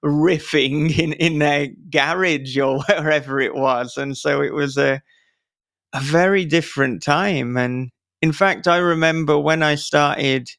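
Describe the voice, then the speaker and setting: Man with a gravelly, creaky voice. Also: fairly high pitch, a bit bright, no room sound, clean studio sound. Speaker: podcast host. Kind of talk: casual interview chat.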